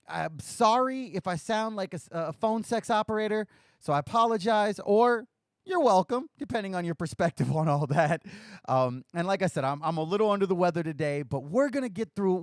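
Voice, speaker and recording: A young man hosts his podcast in a loose, rambling way, cracking small self-deprecating jokes. His voice is a bit scratchy from a short night, sitting fairly high and moving around a lot in pitch. The sound is close and dry, with no room around it. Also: no room sound. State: slightly scratchy voice from little sleep. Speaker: podcast host. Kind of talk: casual podcast talk, live stream.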